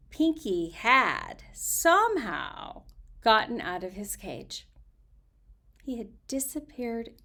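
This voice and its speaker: A woman storyteller talking casually and reading aloud with expressive, dramatic emphasis. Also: a little high.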